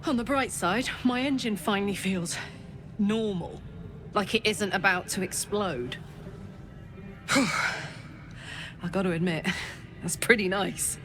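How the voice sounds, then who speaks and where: A woman's voice: mid-range voice, slow pace, long pauses, music in the background, lightly acted. A woman playing a tough, foul-mouthed young fighter in a video game, speaking bluntly and informally. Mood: relieved.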